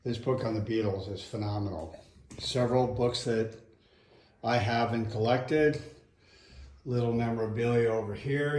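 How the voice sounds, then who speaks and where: A grandfather in his seventies, casually showing his home music memorabilia in a darkened room, fond and unhurried. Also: medium pitch, a little mellow, reverberant. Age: 70s. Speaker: grandfather hosting a family music event. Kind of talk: casual home video tour.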